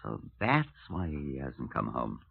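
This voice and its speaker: An actor playing a wry, genial British police superintendent in clipped 1950s radio drama style, on a vintage recording. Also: male voice, a bass voice, huge pitch swings, measured pace, creaky, husky, exaggerated voice. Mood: rueful, dry. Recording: tinny, narrow-band vintage radio recording.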